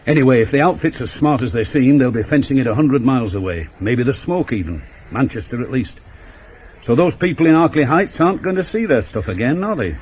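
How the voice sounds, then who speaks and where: An actor playing a shrewd, dogged middle-aged police inspector in a 1980s radio drama, terse, emphatic and authoritative. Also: male voice, medium pitch, expressive, fairly quick, a little mellow, close to an everyday voice. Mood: brooding, shrewd. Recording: old radio broadcast, faint traffic noise.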